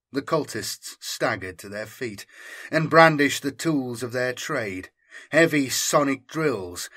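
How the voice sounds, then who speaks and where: A man's voice: medium pitch, fairly bright tone, breathy edge. A man reading scripted fiction as an audiobook narrator, with intense, theatrical delivery.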